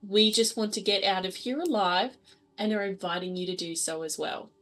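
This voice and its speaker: A woman's voice: mid-range voice, moderate pace, a bit bright. A woman playing a tabletop role-playing game, improvising in character in a playful, sweary, casual way. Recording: music behind the voice.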